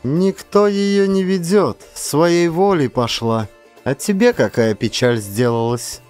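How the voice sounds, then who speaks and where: Guy doing a cheeky mocking village woman, pitched up, animated, audiobook drama. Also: slow, clean tone, soft background music. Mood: defiant. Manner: taunting, cheeky. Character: brazen, mocking young peasant woman, shameless.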